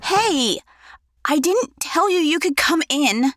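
A woman's voice: high voice, expressive, slow, background noise. A woman playing a hurt, moody, artistic young daughter in an audio drama, sulky and accusing.

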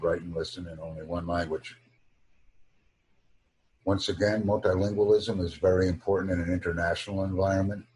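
Male English tutor, deep husky voice, formal measured delivery. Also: a little mellow, rough recording. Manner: slow, formal, measured delivery in short phrases. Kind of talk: online English lesson.

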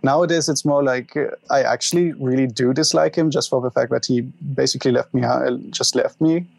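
A young man with a German accent speaks as an interview guest, open about himself and often pausing to find his words. His voice carries a gravelly fry. The sound is dry and close, with no room around it. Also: mid-range voice, fairly even intonation, no room sound. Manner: open, halting, laughs at himself. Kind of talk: candid personal interview.

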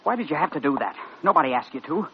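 A young man acting a proud, defensive intern in an old-time radio drama, hesitant and halting but stubborn. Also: a little high, big pitch swings. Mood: defensive, resigned. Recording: muffled, noisy vintage radio recording.

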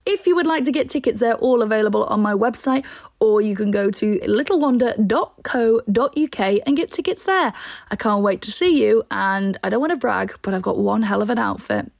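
A woman's voice: medium pitch, animated, brisk, vocal fry, a little mellow, no room sound, clean studio sound. A woman, hosting a podcast and reading a chatty, self-mocking introduction with little laughs. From North Wales. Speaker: podcast host.

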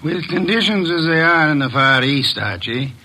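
A man's voice: a little high, lively intonation, moderate pace, pushed and bright, rough recording. An actor playing a shrewd, formal male detective who speaks in a precise, commanding way, in 1940s radio style.

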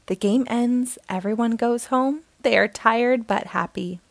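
Woman storytime reader, bright high voice with sing-song lift, dry close mic. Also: creaky, no echo. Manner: slow, expressive, sing-song reading. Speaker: storytime host reading aloud. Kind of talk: children's picture book read-aloud.

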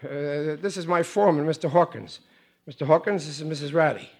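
An actor playing a touchy, slangy working-class husband of about forty, grumbling about aging in an old radio sitcom. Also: male voice, on the high side, fairly quick, gravelly. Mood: awkward, flustered. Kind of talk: 1940s-50s radio sitcom acting. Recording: vintage radio show recording.

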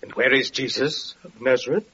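An actor playing a bitter, lame, evil-faced wanderer with mocking, archaic declamation in an old-time radio drama. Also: male voice, medium pitch, moderate pace, pushed and bright, breathy edge. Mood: cold challenging. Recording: muffled, noisy vintage radio recording.

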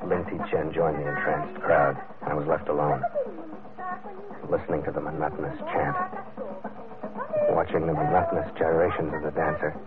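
An actor playing a hard-boiled, stubborn sea captain in an old radio adventure, terse, brooding and matter-of-fact. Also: male voice, a bass voice, little pitch movement, moderate pace, pauses often. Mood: tense, watchful. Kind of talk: old-time radio adventure narration and acting. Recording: narrow-band old radio recording under loud music.

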